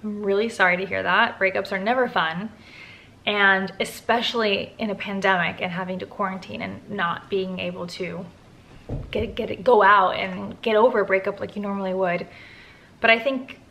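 A woman's voice: mid-range voice, fairly even intonation, normal speed, a bit bright, low-quality recording. A woman in her thirties answering viewer questions to camera from her living room, candid and chatty with lots of "like" fillers. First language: English. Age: 30s. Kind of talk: casual Q&A chat to camera. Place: living room at home, indoors. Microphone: camera at close range.

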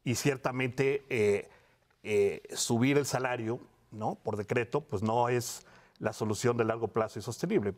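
Male researcher, fifties, mid-range pitch, searching delivery, panel interview on lapel mic. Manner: hesitant, halting, self-correcting. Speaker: academic researcher, studio guest. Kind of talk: televised panel interview, explanatory. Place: television studio panel desk.

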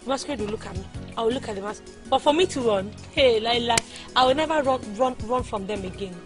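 A woman's voice: a little high, fast, over music, natural voice. A woman playing an outspoken, playful young wife in a film drama, with a Nigerian accent and an exclamatory manner. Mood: playful, defiant. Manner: expressive, exclamatory. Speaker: actress.

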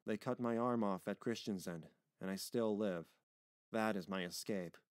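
A man's voice: fairly low pitch, normal speed, no room sound, lightly acted. A male reader plays a worn, injured sailor from a sea novel. He speaks with polite, proud earnestness in a dry recording. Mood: weak, bitter.

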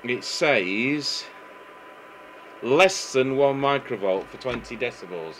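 A man's voice: medium pitch, slow pace. A man giving an informal hands-on technical demonstration, matter-of-fact, thinking aloud as he works at a bench. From United Kingdom. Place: indoors at a repair bench. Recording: clearly noisy workshop camera recording. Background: steady background noise.

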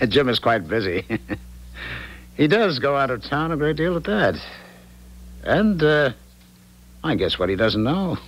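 An actor playing a smug, callous married philanderer in a 1970s radio drama, patronizing and cajoling. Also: male voice, mid-range voice, big pitch swings, slow pace, long pauses, poor audio. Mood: cajoling, amused. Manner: patronizing, cajoling, hesitant when cornered.

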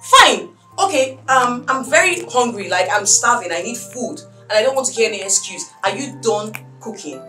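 High-pitched, spoiled young Nigerian madam. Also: female voice, lively intonation, normal speed, sharp tone, roomy sound, soft background music, rough recording. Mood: exasperated. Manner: haughty, exasperated, insulting. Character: spoiled, short-tempered young madam scolding her lazy maid. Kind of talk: melodramatic screen drama acting.

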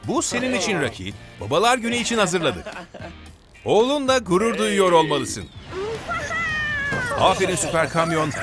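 A man with a high, bouncy voice narrates a children's cartoon, cheering on the vehicles and urging them along. His pitch leaps around with lots of energy, and loud music plays under him throughout. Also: big pitch swings, moderate pace, long pauses. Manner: encouraging, talks to the truck and little cars.

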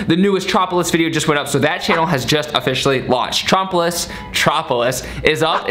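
An energetic young man in his twenties vlogs from a small bedroom studio, his voice sitting a little high. He talks fast and casually with lots of gestures. A faint room ambience hangs under his close camera mic. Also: slight background noise. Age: 20s. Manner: energetic, gestures a lot while talking. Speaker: vlogger.

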